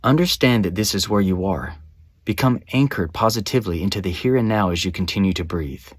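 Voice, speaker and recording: A man narrating a scripted guided meditation as a guide, with measured, soothing, instructional phrasing. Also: sits low, slightly rough, no room sound, studio quality.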